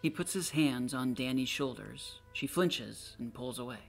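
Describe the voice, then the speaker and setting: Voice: mid-range voice, unhurried, vocal fry, faint music behind. A narrator of unclear sex reading scripted stage directions.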